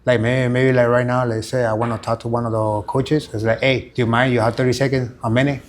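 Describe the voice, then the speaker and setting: A man's voice: mid-range voice, flat delivery, quick pace. A middle-aged man from Venezuela with a Venezuelan Spanish accent, a fighter and coach giving rambling interview answers in a gym. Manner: rambling, many fillers and restarts.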